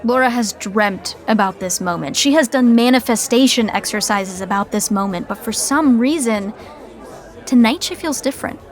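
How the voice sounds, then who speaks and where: A woman narrating a scripted sitcom podcast with wry, deadpan asides. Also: a little high, moderate pace, a faint crowd.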